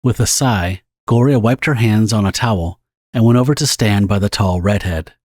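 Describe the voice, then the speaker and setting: A man reading scripted, dramatic story narration for an audio drama in a husky voice. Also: lowish voice, normal speed, darkish tone, no echo, clean studio sound.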